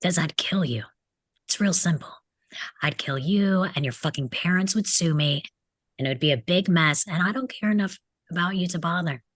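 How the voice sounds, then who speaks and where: A woman's voice: on the low side, normal speed, no echo, close to an everyday voice. A woman reading the part of a mocking, crude teenage delinquent boy at a table read of a film script. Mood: defiant. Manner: mocking, needling, insolent.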